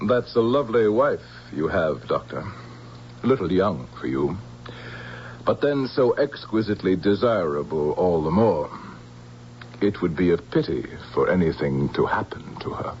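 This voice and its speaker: An actor playing a silky, menacing military general who makes veiled threats in a vintage radio drama. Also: male voice, low voice, expressive, a little mellow. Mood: veiled menace. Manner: smooth, veiled menace, deliberate. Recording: muffled old radio recording with noise.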